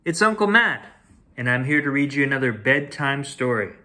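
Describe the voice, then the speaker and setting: A man in his forties reading a children's picture book aloud as a storyteller, deliberate and expressive. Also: medium pitch, a little hiss or hum. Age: 40s. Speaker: storyteller reading bedtime stories. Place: small home room with shelves, indoors.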